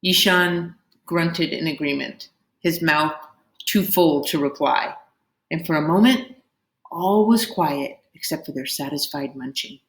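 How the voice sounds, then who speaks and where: Female read-aloud host, slightly low voice, expressive and dramatic narration of children's fiction, roomy sound. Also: a little slow. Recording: roomy, echoing indoor sound.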